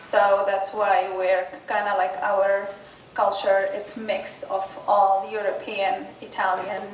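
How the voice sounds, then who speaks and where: A woman's voice: medium pitch, flat delivery, slow pace. A woman from Tunisia, speaking with many fillers and restarts as a guest speaker at an informal class presentation. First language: Arabic and French. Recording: echoey room from a distance, faint hiss.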